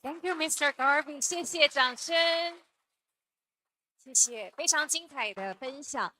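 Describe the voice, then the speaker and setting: A woman's voice: high-pitched, measured pace, bright, forceful tone. A woman acting as a bilingual host, making brief, polite moderating remarks.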